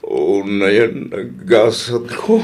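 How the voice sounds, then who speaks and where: A man's voice: on the low side, slow pace, a little mellow. An actor playing a lovesick jilted man who cannot move on, in a comedy radio drama. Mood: dejected.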